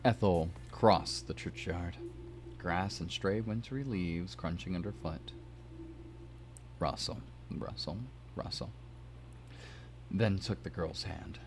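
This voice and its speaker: A man's voice: deep voice, slow pace, pauses often. A man narrating a story aloud, slipping in playful asides. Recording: music behind the voice.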